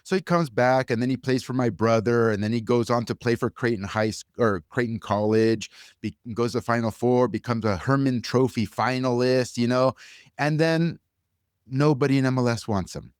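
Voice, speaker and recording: A man's voice: medium pitch, fairly even intonation, normal speed, clean tone, no echo, clean studio sound. A man hosting a podcast, talking casually and with opinion in an informal conversational way. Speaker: podcast host.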